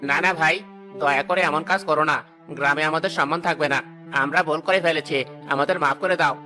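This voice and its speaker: A scheming village trader from a cartoon voiced by a man, talking rapidly in a forceful, bright voice. The tone is slick and greedy. Faint music plays underneath. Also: fairly high pitch, fairly even intonation. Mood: wheedling. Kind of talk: cartoon story acting.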